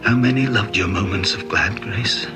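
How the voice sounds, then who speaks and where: An actor playing a tender, wistful man reciting a love poem in a TV drama, halting as he goes. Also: on the low side, lightly acted. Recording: loud music over TV drama soundtrack. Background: loud music.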